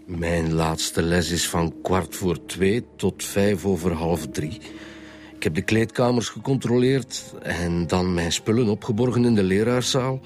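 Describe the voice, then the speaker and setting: An actor with a Flemish accent playing a guarded schoolteacher, formal, precise and curt, in a radio drama. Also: male voice, low-pitched, a little slow, darkish tone. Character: stiff, guarded male schoolteacher under police questioning. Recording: faint background music.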